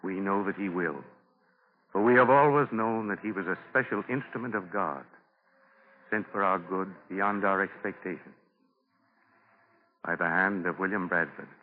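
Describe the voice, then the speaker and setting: A man's voice: low voice, normal speed, pauses often. A solemn, pious colonial Pilgrim man, speaks in the reverent style of 1940s radio drama. The old broadcast recording is narrow and tinny.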